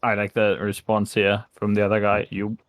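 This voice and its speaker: Lower-pitched man in casual commentary. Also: completely flat delivery, normal speed. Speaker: co-commentator translating game voice lines. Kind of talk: casual chat, language commentary.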